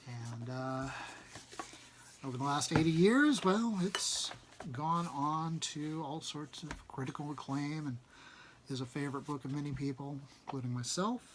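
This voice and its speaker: A man reading a storybook aloud as narrator, with expressive delivery that often drops softly at the ends of phrases. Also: on the high side, slight background noise. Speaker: reader.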